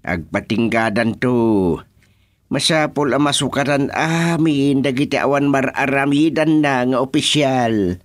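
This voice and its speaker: A man's voice: medium pitch, measured pace, natural voice. A man with an Ilocano accent playing a grumbling, strict village chairman in a radio comedy drama. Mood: exasperated. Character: exasperated male village chairman, strict about absent officials.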